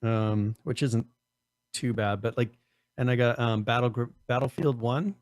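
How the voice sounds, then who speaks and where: A man co-hosting a casual gaming podcast, losing his voice, his throat strained and cracking on high notes, rambling with many restarts. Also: steady tone, measured pace, no echo. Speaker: podcast co-host.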